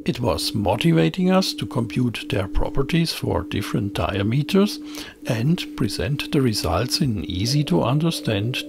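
A man presenting a scripted technical tutorial as voice-over, speaking in a measured, explanatory manner into a close microphone. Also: medium pitch, vocal fry, noisy background. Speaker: presenter of an electronics tutorial channel.

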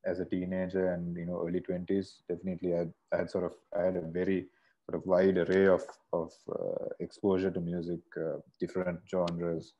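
A man's voice: sits low, completely flat delivery, a little fast, highs cut off. A man from Sri Lanka, a singer, talking as a guest over a video call in a casual, rambling way with many restarts. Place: bright room indoors. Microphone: earphone mic on a video call.

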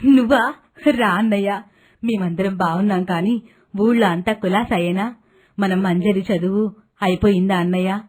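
A woman's voice: mid-range voice, steady tone, normal speed, long pauses, darkish tone, slightly rough, close to an everyday voice. A woman playing a kindly, naive middle-aged village mother who talks in a chatty, affectionate way. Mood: delighted welcome.

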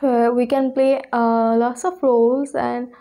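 A woman's voice: fairly high pitch, flat delivery, measured pace, mellow. A woman in her twenties with an Indian accent, giving casual reaction commentary as a video host. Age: 20s.

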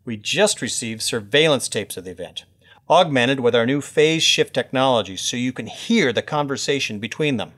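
A man playing a serious government agent in an audio drama, formal, measured and persuasive. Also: mid-range voice, expressive, a bit bright, natural voice. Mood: businesslike. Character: serious male government agent recruiting a spy. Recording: echoey room, faint noise.

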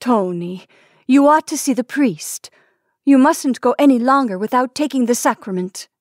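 A woman doing a shrill pleading wife. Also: animated, normal speed, dry recording, clean studio sound. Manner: pleading, rising to a shriek. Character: pleading desperate wife of a dying shopkeeper.

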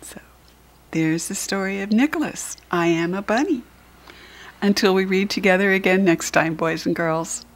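An American woman reading a picture book aloud to young children, gently explaining things as she goes in a soft, breathy voice. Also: medium pitch, normal speed. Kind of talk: read-aloud storytelling with asides to young children.

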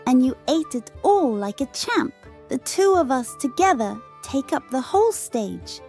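A woman voicing a bubbly, flirty female robot chicken in a comic dub, teasing and high, over music. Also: sing-song, measured pace, darkish tone, heavily acted.

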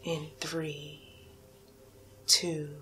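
A woman playing a commanding, coaxing alien cow captor in a breathy, whispered hypnosis ASMR roleplay. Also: sits low, level tone, bright, forceful tone, a little hiss or hum, full character voice. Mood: hypnotic, commanding. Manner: slow, deliberate, coaxing, commanding. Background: music.

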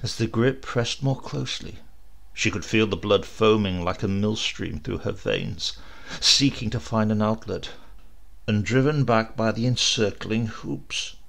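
A man reading a horror story as an audiobook narrator, theatrical and suspenseful, with emphatic swells and hushed drops. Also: on the low side, a little slow, creaky.

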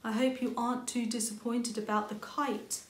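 Elderly storybook rabbit grandfather, calm and helpful, voiced by a woman, roomy sound. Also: on the high side, moderate pace, natural voice. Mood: encouraging. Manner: calm, encouraging. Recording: roomy home recording.

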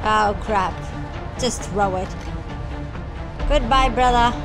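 A woman giving a casual live reaction to a game, speaking in short exclamations and talking back to the characters over a loud game soundtrack. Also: fairly high pitch, takes it slowly. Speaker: reaction video host. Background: game music and vehicle noise.

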